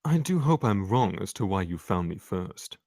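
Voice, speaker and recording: A sardonic rogue played by a man in a screenplay read. He speaks drily in a lowish voice. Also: very animated, normal speed, a little mellow, full character voice. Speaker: table-read actor. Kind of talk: live screenplay table read.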